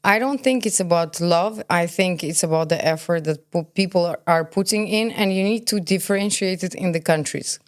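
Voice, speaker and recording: Low-pitched, opinionated woman of forty. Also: moderate pace, hardly any pauses. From born in Kazakhstan, grew up in Belgium. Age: about 40. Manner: opinionated, emphatic, poses questions and answers them herself. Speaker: podcast guest, cosmetologist. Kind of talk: candid conversational interview.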